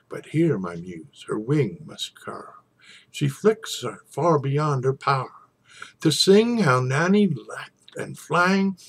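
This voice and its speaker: A man reciting an old narrative poem in a theatrical storytelling style, with dramatic pauses and swells. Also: mid-range voice, gravelly. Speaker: poetry reader.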